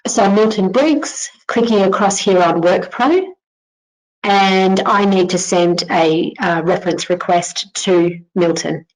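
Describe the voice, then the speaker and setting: A woman giving an informal narrated software tutorial, explaining things step by step as a company presenter. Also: medium pitch, slow pace, rough recording. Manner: instructional, step-by-step.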